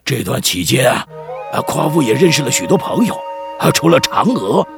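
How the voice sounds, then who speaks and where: Creaky, wildly animated village chief. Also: male voice, medium pitch, normal speed. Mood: dramatic storytelling. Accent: Taiwanese. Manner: theatrical, teasing, stammering at times. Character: jolly, chatty village chief telling tall tales. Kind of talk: children's radio drama storytelling. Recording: music bed behind the voice.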